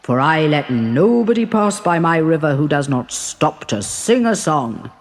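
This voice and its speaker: A woman voicing a male river king spirit, grave and commanding, with a slow, measured, stately delivery. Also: sits low, big pitch swings, voice pushed down, full character voice.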